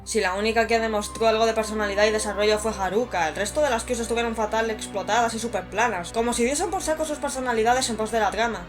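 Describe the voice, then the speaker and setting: A woman's voice: fairly high pitch, fast, hardly any pauses. Woman from Spain narrating over music. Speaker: video narrator and reviewer. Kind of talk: scripted review narration.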